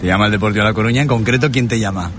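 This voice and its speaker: A man's voice: lowish voice, racing, fairly bright tone, slight background noise. A man interviewing a young player, asking friendly, prompting questions. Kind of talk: casual interview.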